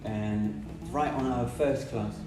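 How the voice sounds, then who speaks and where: A man's voice: medium pitch, steady tone, slow pace. Man teaching, echoey distant mic. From Brazil. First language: Portuguese. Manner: emphatic, explanatory, speaks in short repeated phrases. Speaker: English teacher. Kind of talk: podcast conversation. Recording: roomy, distant mic, music behind.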